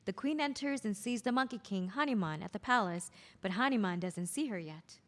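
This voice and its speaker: A woman reading stage directions aloud at a play's table read, in plain, even narration. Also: mid-range voice, fairly quick, long reverb tail.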